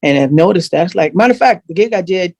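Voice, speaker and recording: A man's voice: sits high, quick pace, vocal fry, dry recording. A man, a guest singer, chatting on a video call and telling stories with lots of restarts. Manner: animated, storytelling, frequent restarts. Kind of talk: casual chat on a video call.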